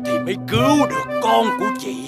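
Pompous, scheming old witch-doctor wolf, highish pitch. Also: fast, creaky, over loud music. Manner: pompous, theatrical. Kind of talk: children's cartoon voice acting.

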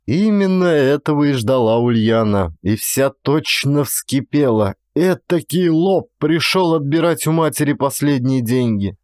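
A man reading a classic story as an actor-narrator, with expressive scripted delivery. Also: medium pitch, a little slow, few pauses, no echo, clean studio sound. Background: music.

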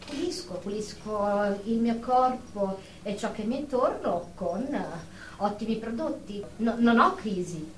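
A woman's voice: medium pitch, animated, a little slow, lightly acted. A woman acting a defensive, obsessive, germ-phobic nurse of forty-five in a radio drama, hesitant and repetitive. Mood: insistent, defensive. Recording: distant roomy recording with faint noise.

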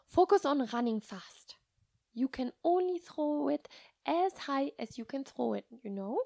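A woman voicing a kind, patient nun in a children's story, speaking softly and reassuringly with gentle humor. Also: high voice, expressive, vocal fry, no room sound, clean studio sound, voice pushed up, heavily acted. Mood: encouraging. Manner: soft, measured, reassuring.

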